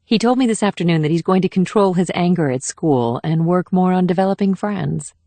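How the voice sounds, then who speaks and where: A woman's voice: on the low side, fairly quick, dark tone, no echo, close to an everyday voice. A woman playing a composed, gracious First Lady with a polite, formal manner in audiobook dialogue.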